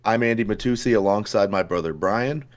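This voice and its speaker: A young man with a low, hoarse voice co-hosts a casual podcast. He talks in a relaxed, chatty way, stumbling over his words and filling gaps with "uh" as he goes. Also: a little fast. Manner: relaxed, chatty, with frequent fillers and restarts. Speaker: podcast co-host. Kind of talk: casual chat.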